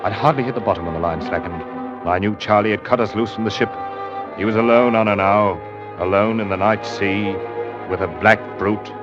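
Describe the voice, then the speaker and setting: A man's voice: on the low side, brisk, close to an everyday voice. An actor playing an earnest young seaman, telling his tale with dramatic intensity in a 1940s radio drama. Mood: tense, dramatic. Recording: muffled old radio recording, loud music.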